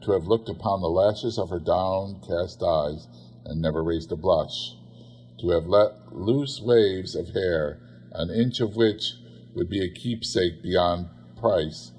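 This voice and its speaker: A man narrating a literary story expressively, in a measured, theatrical manner. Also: deep voice, a little mellow, breathy edge. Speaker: audiobook narrator.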